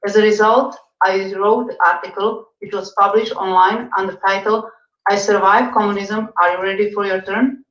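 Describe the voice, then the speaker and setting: A woman's voice: mid-range voice, fairly even intonation, moderate pace, fairly bright tone. A woman from Czechoslovakia, reading a firm, formal campaign statement. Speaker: party regional director candidate. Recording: echoey, dull sound.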